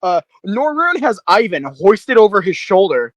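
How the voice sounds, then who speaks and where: A man's voice: sits high, big pitch swings, unhurried, dry recording, muffled recording. A man playing a tabletop role-playing game, chatting casually with slang and swearing as he describes his character's actions.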